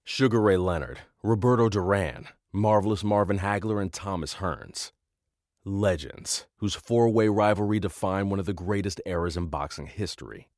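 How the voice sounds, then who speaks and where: Man announcer, very low voice, dramatic promo read, dry sound. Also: normal speed, a little mellow, no room sound.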